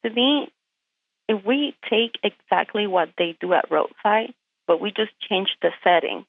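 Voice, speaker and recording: A woman's voice: medium pitch, animated, a little slow, creaky, no echo. A woman with a Puerto Rican accent, whose first language is Spanish, is a guest on an interview by phone. She makes her case directly and with emphasis, often asking rhetorical questions. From Puerto Rico. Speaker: truck driver, interview guest. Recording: tinny phone line.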